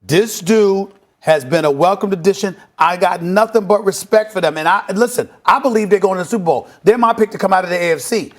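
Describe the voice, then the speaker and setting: A man hosting a televised sports debate, arguing his points in an emphatic, forceful way. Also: high voice, a little fast, hardly any pauses. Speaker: host of a sports debate show.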